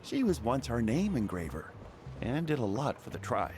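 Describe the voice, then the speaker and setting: An actor playing a friendly, welcoming merchant in a video game. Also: male voice, medium pitch, big pitch swings, normal speed, darkish tone, faint music behind, close to an everyday voice. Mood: genial. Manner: genial, welcoming.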